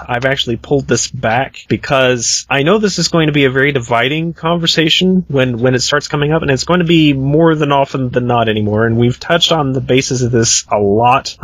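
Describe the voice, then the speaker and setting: A man's voice: mid-range voice, a bit bright, dry recording. A man hosting a casual gaming podcast, talking in a rambling, wry and mildly sarcastic way. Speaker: podcast host. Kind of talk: casual roundtable chat about games.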